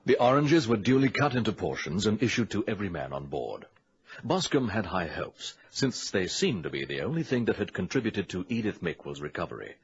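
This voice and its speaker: A man's voice: fairly low pitch, sing-song, fairly quick, breathy edge, exaggerated voice. A man narrating a scripted old-time radio drama. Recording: muffled vintage radio recording.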